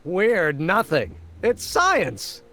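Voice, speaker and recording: A man's voice: high voice, wildly swooping pitch, very slow, bright, forceful tone, heavily acted. A man voicing an eager, earnest young amateur scientist in a video game, explaining things precisely and with enthusiasm. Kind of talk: video game character acting.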